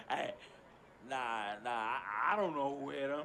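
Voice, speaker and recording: A man's voice: mid-range voice, animated, takes it slowly, bright, forceful tone, exaggerated voice. An actor playing a cocky, flashy young male hanger-on in a video game cutscene, boastful and slangy, laughing through his lines.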